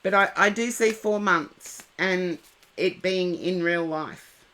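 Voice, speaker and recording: A woman with a head cold, giving tarot readings and gentle advice to viewers on a live stream. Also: lowish voice, rough recording. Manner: slow, unhurried, gently advising viewers by name.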